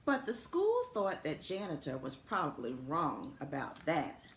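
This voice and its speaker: A woman reads a children's storybook in a fairly low, slow voice with very varied intonation. She speaks simply and expressively, as a principal would to young pupils, in a roomy, echoing space. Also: huge pitch swings. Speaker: elementary school principal reading aloud to students. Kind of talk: children's storybook read-aloud with friendly asides. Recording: roomy echo with faint background noise.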